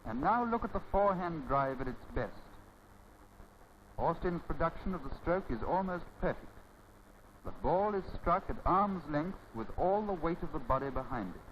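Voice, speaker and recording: An old, dull-sounding film soundtrack with faint noise carries a man's commentary. His voice sits a little high for a man. He speaks formally and didactically in measured, scripted phrases, in the manner of a 1930s instructional film. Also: expressive.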